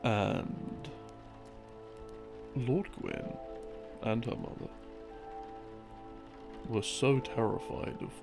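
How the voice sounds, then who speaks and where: Slow, creaky-voiced man rambling casually. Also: medium pitch. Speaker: audio creator narrating as a boyfriend explaining game lore. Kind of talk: rambling, intimate casual lore explanation. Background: soft game theme music.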